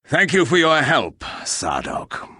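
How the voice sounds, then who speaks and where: Gruff game hero: husky, dry and dismissive, formal deadpan delivery with lively swings, very close dry sound. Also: male voice, a little high, slow pace, dry recording, heavily acted. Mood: curt. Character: gruff, sardonic, rude male warrior hero. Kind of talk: video game voice acting.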